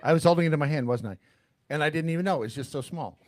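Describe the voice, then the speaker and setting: A man's voice: a little high, very fast, dry recording. A man co-hosting a talk show in casual banter, rambling and playfully interjecting.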